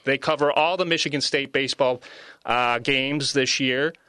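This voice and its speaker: A man's voice: mid-range voice, steady tone, normal speed, sharp tone, clear, resonant tone, no echo. A man hosting a sports podcast in an upbeat interview style, recorded in a studio. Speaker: podcast host.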